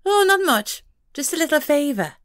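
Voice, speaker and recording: A tiny female pixie, pitched very high and sounding snappish and petulant. She bargains and threatens like a spoiled little schemer in a fantasy storybook, recorded close and dry. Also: sing-song, moderate pace, fairly bright tone, dry recording. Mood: wheedling, sly. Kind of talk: audiobook character voice.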